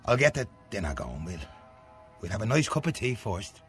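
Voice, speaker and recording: Devoted working-class Irish son for radio drama: hesitant, bashful, low-leaning pitch with lively swings. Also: male voice, soft background music. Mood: homely, tender. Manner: hesitant, halting, self-deprecating. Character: devoted, bashful working man living with his ageing mother. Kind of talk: radio play acting, naturalistic dialogue.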